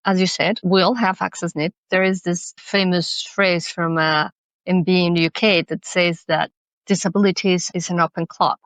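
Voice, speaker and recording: A woman's voice: fairly low pitch, steady tone, moderate pace, a bit bright, no room sound. Woman, earnest and dry. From Mexico. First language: Spanish. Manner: earnest, thoughtful, with many restarts. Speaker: guest, accessibility consultant. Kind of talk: podcast interview.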